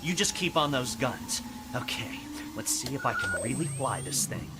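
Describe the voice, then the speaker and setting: A man's voice: medium pitch, big pitch swings, normal speed, loud music behind the voice. A man playing a young, daring rebel pilot with a sardonic, bantering manner in an audio drama. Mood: wry. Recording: loud starship ambience behind voice.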